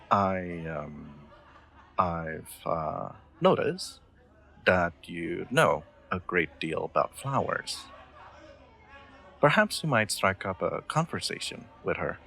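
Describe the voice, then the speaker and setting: A man's voice: low-pitched, gravelly, sharp tone, exaggerated voice. A man playing an awkward, sweet young royal knight in a roleplay audio drama, speaking formally with hesitant stammers and nervous laughter.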